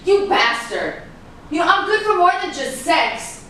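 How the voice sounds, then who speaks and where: A woman's voice: very high-pitched, sing-song, unhurried, pushed and bright, full character voice. A woman playing an aggrieved, outspoken partner in a staged reading of a crime drama. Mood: angry, hurt. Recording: distant, very echoey room, clear background noise.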